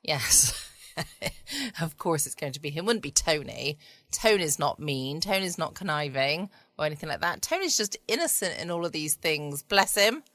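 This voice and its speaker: A woman's voice: deep voice, expressive, moderate pace, a bit bright, dry recording. A woman hosting a casual bedtime podcast from home. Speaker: podcast host. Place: at home, indoors.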